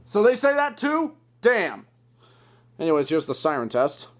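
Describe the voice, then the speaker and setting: A young man hosting a casual hobby show-and-tell, with an excitable manner and a crude, joking streak. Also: on the high side, a little slow, over music. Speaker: video host.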